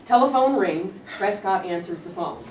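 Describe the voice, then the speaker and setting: A woman's voice: mid-range voice, lively intonation, moderate pace, a little mellow. A woman giving scripted narration, reading out stage directions at a staged play reading. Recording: roomy, distant mic, clear background noise.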